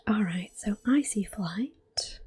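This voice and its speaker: A woman giving soft-spoken, gentle ASMR commentary over a video game, in a breathy whisper. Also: medium pitch, animated, a little hiss or hum. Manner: gentle, unhurried. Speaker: ASMR gameplay host.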